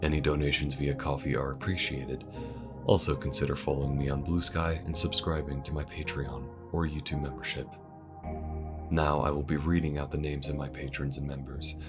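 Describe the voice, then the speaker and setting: A man reading the outro of his own audio drama, thanking supporters in a soft, polite voice. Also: extremely low pitch, level tone, moderate pace, loud music behind the voice. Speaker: voice actor and channel host.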